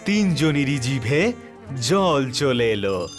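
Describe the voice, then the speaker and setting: A man's voice: mid-range voice, big pitch swings, slow pace, faint music behind. A man narrating a scripted children's story as the storyteller.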